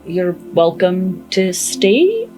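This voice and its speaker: A woman's voice: fairly low pitch, huge pitch swings, very slow, dark tone, low-quality recording. A woman chatting casually as a player in a tabletop game. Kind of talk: casual chat. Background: background music.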